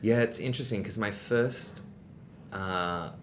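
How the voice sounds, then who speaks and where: A man with a very deep voice talks in a loose, rambling way, often restarting his sentences and leaning on fillers. A rumbling noise runs clearly underneath the recording. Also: measured pace. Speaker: guest. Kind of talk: casual interview chat.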